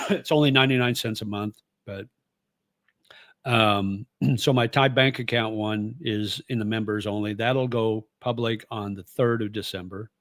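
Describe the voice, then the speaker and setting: A man's voice: on the low side, fairly even intonation, a little slow, a bit bright, dry recording, studio quality. A middle-aged man and former radio host, chatting casually on his livestream and reading viewer comments in a rambling, easygoing way with plenty of fillers.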